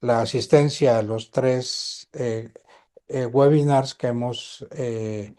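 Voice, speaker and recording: A man moderating an online seminar, his voice mellow and dark. He talks very slowly, with many hesitant fillers, while he reminds people of housekeeping and passes the floor to the speaker. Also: mid-range voice, steady tone. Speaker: webinar moderator. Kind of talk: announcements and introduction.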